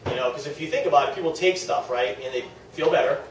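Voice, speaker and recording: A man's voice: medium pitch, fast talker. Echoey, distant New England man addressing a group. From New England, United States. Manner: conversational, self-deprecating, often checks in with listeners. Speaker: speaker at a direct-sales team meeting. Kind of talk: informal motivational talk to a small group. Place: echoey indoor meeting room with an audience. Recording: very echoey room, distant mic, faint noise.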